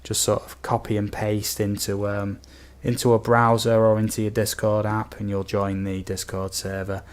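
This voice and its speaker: A man with a fairly low voice that has a slight croak to it. He talks as a game streamer hosting a casual live chat. His manner is easygoing and chatty, addressing viewers like mates. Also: little pitch movement, moderate pace.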